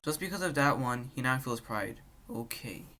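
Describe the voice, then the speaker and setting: A man with a mid-pitched voice delivers short scripted nitpicks. Loud music plays right over his commentary. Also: expressive, normal speed. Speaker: video commentator.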